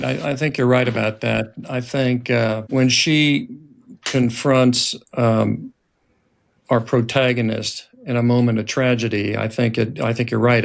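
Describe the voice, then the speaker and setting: A man hosting a conversational interview, asking his questions in a halting way. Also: medium pitch, vocal fry. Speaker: interviewer, host.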